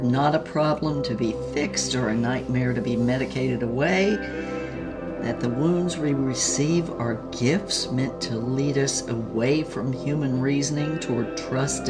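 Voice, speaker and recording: Irreverent, wise ex-starlet, nineties. Deep, slow, theatrical storytelling over clear music, audio drama. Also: female voice. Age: 90s, as played. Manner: wry, unhurried, salty, theatrical. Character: Mynx, irreverent, wise former Hollywood starlet in her nineties.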